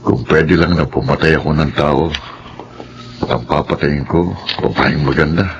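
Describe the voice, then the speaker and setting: A man's voice: very deep voice, steady tone, moderate pace, lo-fi sound, noisy background. A man with a Filipino accent playing a creepy, lecherous funeral parlor boss in a radio horror drama. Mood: brooding, morbid.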